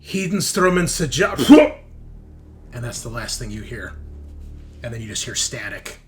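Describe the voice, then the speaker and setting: A man's voice: on the low side, fairly even intonation, moderate pace, pushed and bright, soft background music. A man running a tabletop roleplaying game, narrating off the cuff in a casual, vividly descriptive way. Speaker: game master.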